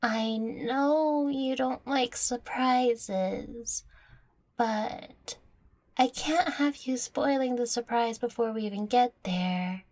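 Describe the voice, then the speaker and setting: Slow, high-voiced playful catgirl girlfriend played by a woman. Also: darkish tone. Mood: gentle pleading. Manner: teasing, coaxing, tender. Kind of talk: intimate audio roleplay addressed to the listener.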